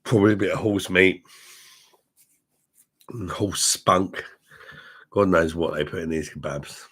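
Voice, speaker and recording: A man hosting a live stream, chatting with his viewers in a rambling, crude way full of swearing, his voice hoarse because he is ill. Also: deep voice, measured pace, fairly bright tone. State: hoarse, unwell. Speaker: livestream host reading chat. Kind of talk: casual crude drinking chat.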